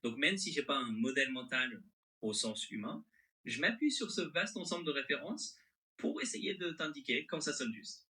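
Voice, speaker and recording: A man's voice as a conversational AI assistant, very bright and crisp-edged, with lively, wide-moving pitch. The French accent is mixed, with an American-sounding intonation. The manner is agreeable and accommodating. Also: normal speed.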